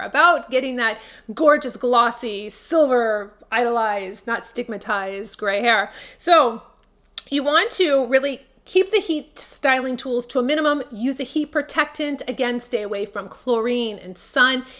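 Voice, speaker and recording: A woman's voice: fairly high pitch, fairly bright tone. A woman hosting a beauty channel, speaking to camera and giving tips in an emphatic, encouraging way with repeated key words.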